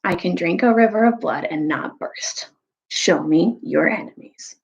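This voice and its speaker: A woman's voice: fairly low pitch, measured pace, vocal fry. A woman voicing a ravenous wolf-like male creature from a storybook, dull and gray, with grim, chanting menace. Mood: cold menace.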